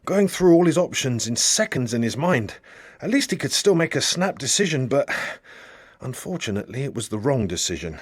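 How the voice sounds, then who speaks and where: A male audiobook narrator delivers scripted fiction with dramatic expression. His medium-pitched voice moves widely up and down, and the sound is dry, free of room echo. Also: big pitch swings, a little fast, breathy edge. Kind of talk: scripted narration of a satirical novel.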